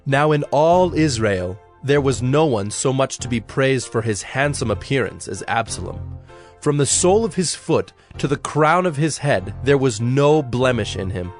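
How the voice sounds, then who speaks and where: A man narrating a dramatized audio Bible in a solemn, measured storytelling manner. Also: mid-range voice, lively intonation, faint music behind.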